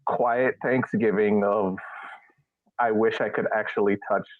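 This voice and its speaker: A man's voice: fairly low pitch, fairly even intonation, measured pace, dry recording. A man chatting casually as a podcast panelist in a wry, sarcastic way, through a narrow radio-like connection. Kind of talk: casual chat. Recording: narrow phone-like, radio-like sound.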